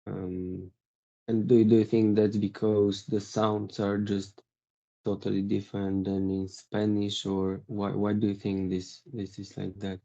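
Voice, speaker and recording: A man's voice: on the low side, monotone, a little slow, mellow. A man hosting a podcast as a language teacher, asking questions casually with restarts. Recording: dull lo-fi recording.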